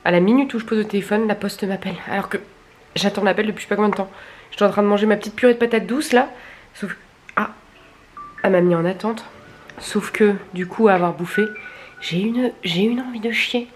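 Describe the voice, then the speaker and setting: A woman's voice: medium pitch, a little fast, faint music behind. A young adult woman chatting casually to camera in a candid, crude, colloquial way, grumbling and poking fun at herself. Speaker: vlogger filming stories. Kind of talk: casual confessional chat to camera.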